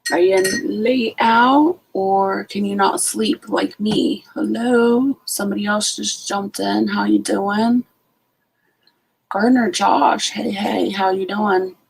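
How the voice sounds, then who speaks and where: A woman's voice: medium pitch, steady tone, slow, gravelly, breathy edge, low-quality recording. A sleepy woman hosting a late-night casual live stream from home, greeting each viewer by name. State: sleepy, just out of bed. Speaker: homestead channel host.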